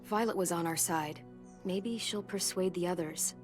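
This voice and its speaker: A woman playing a protective, patient teenage girl looking after a child, in naturalistic game acting with a steady delivery. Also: lowish voice, normal speed, close to an everyday voice. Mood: soothing. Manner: gentle, coaxing, steady. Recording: music clearly behind the voice.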